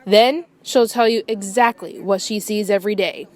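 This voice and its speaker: A woman's voice: medium pitch, moderate pace, darkish tone, slight background noise. A woman reporter reading scripted television news narration.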